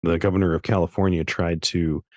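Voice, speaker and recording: Low-voiced man, dry close mic, excitable talker who restarts sentences, podcast co-host. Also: fairly quick, no room sound. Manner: animated, stumbling restarts. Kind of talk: casual chat.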